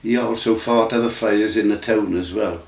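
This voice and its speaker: A man, recalling his memories in a casual, halting way with many restarts. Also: lowish voice, steady tone, vocal fry. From Wales. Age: 90s. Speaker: interview guest. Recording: roomy sound from a distant mic.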